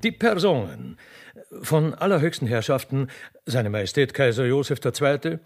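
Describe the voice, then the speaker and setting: A man's voice: medium pitch, very animated, moderate pace, faint music behind. A man narrating a radio play in a theatrical, mock-solemn and ceremonious way.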